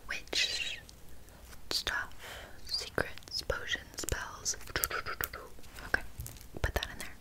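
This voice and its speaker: Whispering woman in a slow witch roleplay. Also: bright, forceful tone. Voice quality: breathy, unvoiced whisper. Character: flippant, bumbling female witch brewing love potions. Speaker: ASMR roleplay performer.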